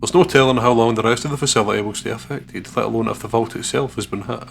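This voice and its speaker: A man's voice: medium pitch, fast, vocal fry. A man narrating a visual novel aloud as a let's player, with playful, casual and sweary commentary.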